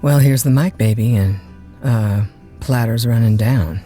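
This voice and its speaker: An actress playing a chatty, easygoing overnight radio disc jockey in an audio drama, with a folksy, informal manner. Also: female voice, very deep voice, measured pace, warm tone, faint music behind. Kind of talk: naturalistic audio drama acting.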